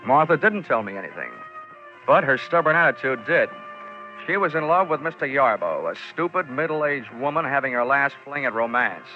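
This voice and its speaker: An actor playing a hard-boiled, wisecracking male insurance investigator in 1940s radio noir, brisk and sardonic. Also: medium pitch, sing-song, faint music behind, lightly acted. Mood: wry narration. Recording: dull vintage 1949 radio recording.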